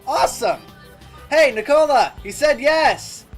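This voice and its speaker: A man's voice: very high-pitched, lively intonation, unhurried, faint music behind. A man acting an upbeat, easygoing young groom and best buddy in an audio drama, breezy and exuberant. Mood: excited.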